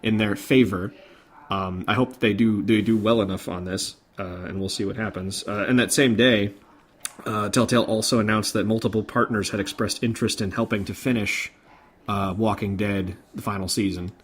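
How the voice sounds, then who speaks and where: Low-voiced man hosting a casual podcast. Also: steady tone, moderate pace, husky. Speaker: podcast host. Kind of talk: casual podcast chat about game industry news.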